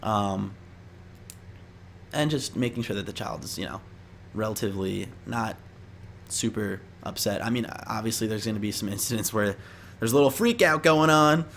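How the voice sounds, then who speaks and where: A man's voice: fairly low pitch, normal speed, creaky, fairly bright tone, a little hiss or hum. A young man chatting casually to viewers as he gives tips, in an informal, rambling, slangy way. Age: young adult. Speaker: vlogger giving interview tips. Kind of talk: casual chat to camera.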